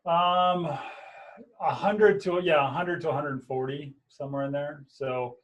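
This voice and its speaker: A man from Montana, an engineering professor, answering questions in a relaxed, hesitant way on a video call. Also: on the high side, a little slow. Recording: dull lo-fi video call audio.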